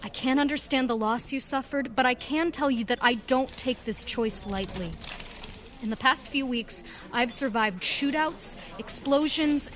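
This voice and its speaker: Plucky, high-voiced young nurse. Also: female voice, a little slow, a bit bright, slight background noise. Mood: spirited, determined. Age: young adult. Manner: eager, spirited, earnest. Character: plucky, earnest young woman nurse turned adventurer. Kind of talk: radio drama acting.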